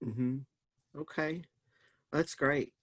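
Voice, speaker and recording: A man hosting a casual theater talkback, pausing and searching for his words. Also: on the high side, dry recording, studio quality. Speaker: stage directions reader and talkback host. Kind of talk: reading stage directions, casual moderated talk.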